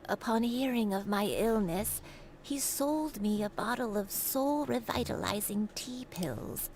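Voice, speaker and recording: A woman voicing a frail, gentle elderly granny in a video game, with slow, halting, wistful delivery. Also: fairly high pitch, low-quality recording.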